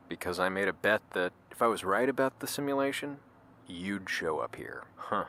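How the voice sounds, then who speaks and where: A man's voice: fairly low pitch, gravelly, a bit bright, slight background noise. An actor playing an easygoing, wry man in informal, slightly hesitant audio drama conversation. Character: easygoing, slacker-ish man meeting his identical double.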